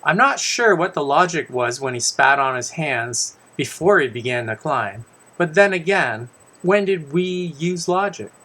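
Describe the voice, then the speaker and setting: A man's voice: a little high, bright, forceful tone. A man reads his own children's story aloud in the first person, conversationally, with casual asides and small self-corrections. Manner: conversational, stumbles and corrects himself.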